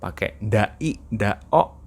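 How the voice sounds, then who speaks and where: A man's voice: on the low side, animated, drawn-out words, creaky. A young man with an East Javanese Indonesian accent, chatting casually in a video journal, slipping in English phrases and laughing now and then. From East Java. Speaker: vlogger.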